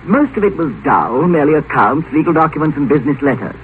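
An actor playing an urbane, witty scholar who narrates in a bookish, elegant way in a radio drama. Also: male voice, fairly high pitch, big pitch swings, fast, slight background noise. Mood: wry amusement. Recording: tinny 1950s radio, music behind.

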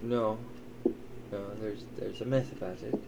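A man voicing a gruff, doting old elven father who swears and teases in an improvised tabletop roleplay. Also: lowish voice, fairly even intonation, measured pace. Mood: grave storytelling. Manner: wry, teasing, swearing. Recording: clearly noisy, ambient sound behind.

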